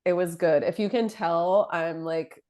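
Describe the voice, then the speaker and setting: Low-voiced woman with a stuffy cold. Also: fairly even intonation, a little slow, warm tone, clear, resonant tone. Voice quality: deep voice. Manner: chatty, self-deprecating, filler-heavy. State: nasal from a head cold. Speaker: podcast co-host. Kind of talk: casual chat.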